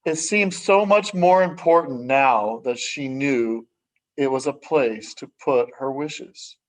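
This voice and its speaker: A man reading a children's story aloud expressively, with measured, dramatic phrasing and hushed sentence endings. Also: mid-range voice, rough recording. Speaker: story reader. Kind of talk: expressive children's picture book read-aloud.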